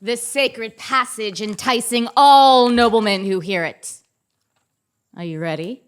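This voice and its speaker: A woman's voice: on the high side, a little slow, smooth, close to an everyday voice. A woman acting a self-serious dragon slayer in a comic stage play, declaiming in mock-epic fashion. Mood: grandiose, defiant.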